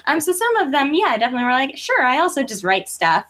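A woman's voice: high voice, very animated, quick pace, fairly bright tone, slight background noise. A woman chatting casually as a guest author in an interview, informal and self-deprecating in manner.

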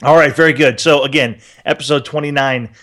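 A man's voice: fairly high pitch, steady tone, brisk, fairly bright tone. A man co-hosting a tech podcast, chatting casually in an upbeat, joking, rambling way. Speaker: podcast co-host.

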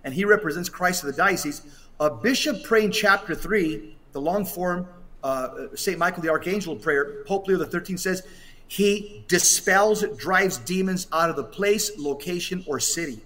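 A man with a fairly high voice delivers religious commentary on a podcast over a video call. He is emphatic, often halting and restating a phrase before carrying on. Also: sharp tone. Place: hotel room, on a remote connection.

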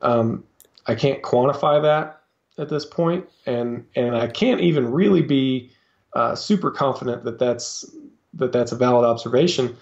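A man talking as a guest on a podcast, explaining his coaching in a halting way with lots of fillers and restarts. His voice sits in a middle register, and the recording sounds a little dull and lo-fi. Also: mid-range voice, darkish tone. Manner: hesitant, many fillers and restarts. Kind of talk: casual interview talk.

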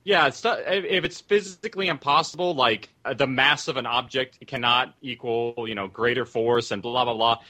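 A man with a bright, clear-cut voice of medium pitch, talking off the cuff as a producer on a panel. His phrasing is loose and informal, full of small qualifiers. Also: normal speed, few pauses. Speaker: audio drama producer, panel guest. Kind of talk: casual panel discussion.

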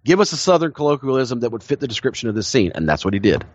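A man's voice: medium pitch, sing-song, rapid-fire, dry recording. Brief: male podcast co-host, eager storyteller, dry, slightly dull recording. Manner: enthusiastic storytelling, frequent restarts, laughs often. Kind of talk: casual chat.

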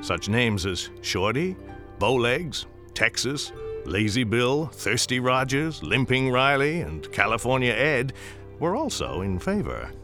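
An actor narrating a radio storybook tale of the Old West as a wry, courtly storyteller. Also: male voice, medium pitch, big pitch swings, unhurried, pushed and bright. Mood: wry storytelling. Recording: music behind the voice.

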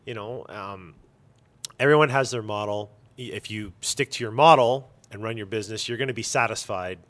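A man's voice: lowish voice, normal speed, fairly bright tone. A man talking casually and emphatically in an interview, giving blunt opinions as a shop owner.

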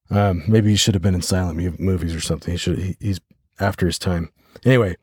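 An American man hosting a podcast solo, talking reflectively and haltingly as he thinks aloud. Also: lowish voice, darkish tone, husky, dry recording, studio quality. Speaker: podcast host.

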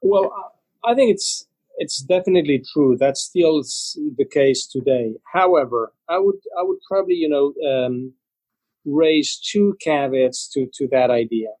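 A man's voice: a little high, lively intonation, a little slow, a little mellow, dry recording, rough recording. A man from Spain answering interview questions about brands and trademarks, talking fluently with many "you know" fillers. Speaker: interview guest, trademark association representative.

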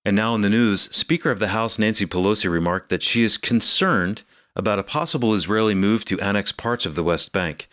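A man co-hosting a religious radio program, reading scripted announcements and news in a measured, formal way. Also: fairly low pitch, a bit bright.

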